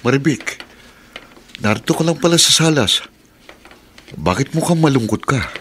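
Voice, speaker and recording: A man's voice: medium pitch, fairly bright tone, slight background noise, close to an everyday voice. A man acting in a radio drama as a humble, weary driver and family man, plain-spoken and concerned. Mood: concerned, questioning. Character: weary, humble working-class husband and father, a driver.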